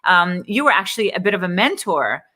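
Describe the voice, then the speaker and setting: A middle-aged woman hosts a livestream interview with an upbeat, chatty manner. Her voice is bright and sharp, and she talks in an admiring, friendly way as a speaking coach. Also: on the low side, sing-song, a little fast.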